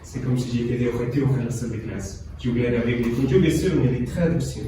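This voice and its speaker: A man's voice: medium pitch, a little fast, natural voice. A man playing a self-assured, know-it-all contestant in a scripted amateur quiz show dramatisation. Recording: very echoey, distant, noisy background.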